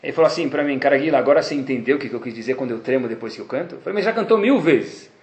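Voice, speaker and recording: A man's voice: mid-range voice, rapid-fire, echoey room. A man with a Brazilian Portuguese accent, a rabbi teaching a class to his students, telling stories and acting out the dialogue in them. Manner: animated storytelling, acts out quoted dialogue. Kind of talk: Torah class lecture.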